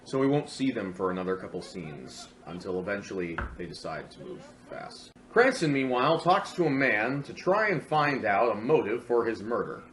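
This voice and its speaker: A man's voice: on the low side, sing-song, normal speed, few pauses, a bit bright. A man hosting a comedic scripted film review, speaking in a sarcastic, mock-dramatic way. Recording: roomy echo with music underneath.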